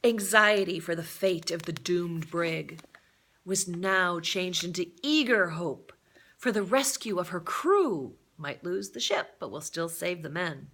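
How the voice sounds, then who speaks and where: Female storyteller for read-aloud fiction, bright forceful tone on a lowish pitch, expressive and deliberate. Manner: expressive, deliberate delivery with dramatic emphasis. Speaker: storyteller reading a novel aloud.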